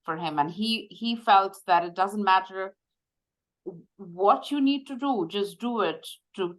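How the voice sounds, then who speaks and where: A woman's voice: mid-range voice, a bit bright, low-quality recording. Reflective woman from India. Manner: reflective, measured, with frequent fillers. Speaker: guest, medical device executive. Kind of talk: conversational interview.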